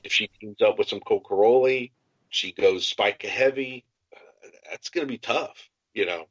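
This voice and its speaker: A lowish-voiced man talks game strategy as a podcast co-host, restating his points with emphasis. The sound is very dry and close, a little muffled and lo-fi. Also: moderate pace, slightly rough, no room sound. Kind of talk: casual tabletop game strategy talk.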